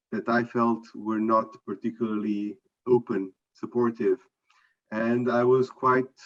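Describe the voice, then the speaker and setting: A middle-aged man from Portugal, a panel guest speaking in a measured, reflective way and setting out his points one by one. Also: mid-range voice, muffled recording. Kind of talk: conference panel answer.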